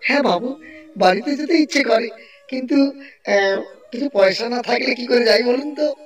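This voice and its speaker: Man as frustrated young village son, high pitch, pleading, cartoon dialogue, off-mic sound. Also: normal speed, far from the mic, soft background music. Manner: pleading, plaintive. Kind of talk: Bengali cartoon story dialogue.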